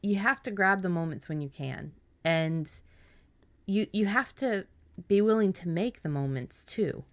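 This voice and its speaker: A woman's voice: medium pitch, expressive, measured pace. A woman hosting a writing podcast, talking casually with frequent restarts and repeated words. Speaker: podcast host.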